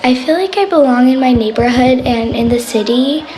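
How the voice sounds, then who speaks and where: A woman's voice: sits high, moderate pace, darkish tone, slight background noise. A woman speaking sincerely in an interview. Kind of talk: personal interview testimony.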